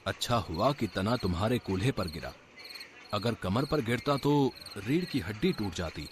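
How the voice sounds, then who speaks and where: A man playing a kindly, simple gardener in a cartoon story, speaking in a gentle, subdued way. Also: lowish voice, animated, moderate pace, background noise, lightly acted. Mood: concerned. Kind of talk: acted cartoon story dialogue.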